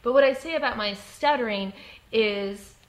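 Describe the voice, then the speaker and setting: A woman who stutters, with blocks and repeated sounds, giving an explanatory advice talk in a composed, frank and deliberate way. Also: on the high side. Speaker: presenter giving workplace advice. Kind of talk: explanatory talk with personal examples.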